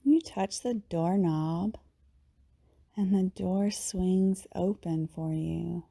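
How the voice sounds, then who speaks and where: A woman leading a guided meditation in a soothing, unhurried way, with long pauses and phrases that tend to trail off softly. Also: lowish voice, vocal fry, husky. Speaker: yoga teacher, meditation guide.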